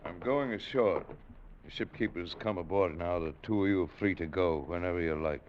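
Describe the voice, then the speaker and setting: An actor playing a stern, shaken sea captain speaking in clipped, formal lines in 1940s radio drama style. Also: male voice, deep voice, moderate pace, close to an everyday voice. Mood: somber. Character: stern older male sea captain, shaken but firm. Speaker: ship's captain. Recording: dull old radio recording with faint hiss.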